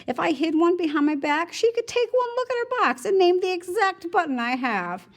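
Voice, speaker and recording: A woman teacher reading a novel aloud in expressive, conversational first-person narration, like a classroom read-aloud. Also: very high-pitched, normal speed. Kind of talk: read-aloud storytelling with brief teaching asides.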